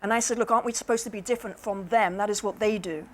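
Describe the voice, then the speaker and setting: A woman's voice: mid-range voice, steady tone, fast talker. A woman speaking as an invited speaker, answering audience questions in a reflective, plain-spoken, explanatory way. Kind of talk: measured Q&A answers.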